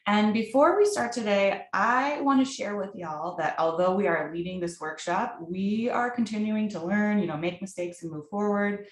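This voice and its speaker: A woman opening and hosting an online workshop, speaking deliberately with some fillers over a video call. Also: medium pitch, reverberant. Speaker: workshop host.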